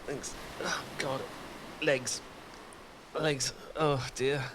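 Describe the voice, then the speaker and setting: A man's voice: mid-range voice, level tone, drawn-out words, noisy background. Stammering flustered British man. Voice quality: frequent nervous laughs and sighs. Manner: flustered, stammering, self-deprecating. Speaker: ASMR roleplay performer. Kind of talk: ASMR roleplay.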